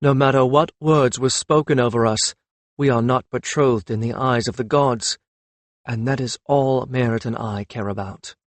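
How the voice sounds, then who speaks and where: A man's voice: medium pitch, fairly even intonation, normal speed, dry recording, natural voice. A man voicing a grave, resolute young noblewoman with a wry, firm manner in an audiobook. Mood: firm, defiant. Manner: simple, firm, matter-of-fact.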